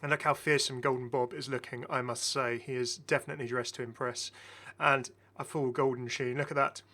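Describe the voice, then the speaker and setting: A man's voice: mid-range voice, level tone, fairly quick, bright, forceful tone. A man giving casual, enthusiastic game commentary as a chatty, rambling video host.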